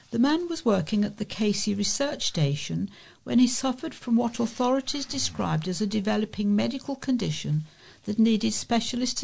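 A woman with a noticeably creaky, gravel-edged voice at a middling pitch reads news reports aloud. She delivers them as a volunteer newsreader would, straight from the page. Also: a little mellow.

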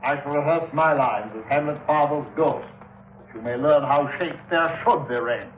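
An actor playing a vain, domineering old Shakespearean actor who declaims grandly and imperiously in 1950s radio drama style. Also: male voice, on the high side, moderate pace, natural voice, rough recording. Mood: pompous. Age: older.

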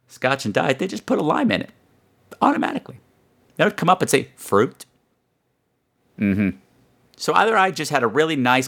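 A man with a very animated delivery hosts a show as a casual monologue. He rambles like a comic storyteller, tossing in sarcastic asides and salty language. His pitch moves around freely. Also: gravelly. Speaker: podcast host.

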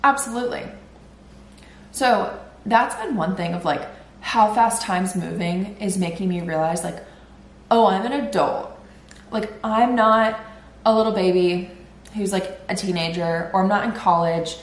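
A woman's voice: mid-range voice, measured pace, roomy sound. A woman in her twenties hosting a solo podcast, chatty and rambling, sounding nasal and congested as she recovers from illness. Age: 20s. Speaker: podcast host. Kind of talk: casual solo podcast chat.

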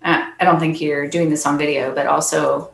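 A soft-spoken woman, a percussionist guest, chatting earnestly and laughing often in an interview. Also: deep voice, little pitch movement, moderate pace, creaky, roomy sound, a little hiss or hum. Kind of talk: casual interview chat.

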